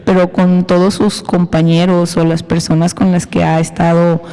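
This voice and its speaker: A woman guest speaking in casual interview style, emotional and affectionate, softly hesitant. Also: on the low side, level tone, moderate pace, clean tone, long reverb tail.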